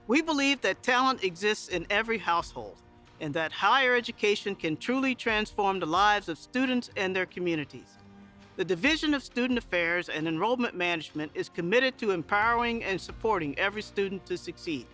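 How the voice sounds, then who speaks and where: A man reading a formal, scripted introduction of an award recipient in a measured way. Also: sits high, bright, forceful tone. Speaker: university administrator presenting award.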